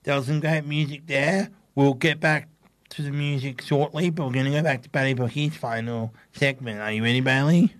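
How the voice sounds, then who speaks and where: A man of middle pitch runs a casual radio show. He sounds upbeat and moves things along briskly between segments. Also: fairly even intonation. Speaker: radio host.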